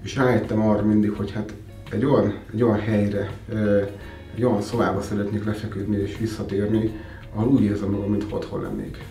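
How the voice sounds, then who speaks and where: Male, calm monotone reflection, distant mic, room echo. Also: fairly low pitch, fast. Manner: flat, calm, with fillers. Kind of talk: reflective interview answer. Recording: distant, echoey, faint music.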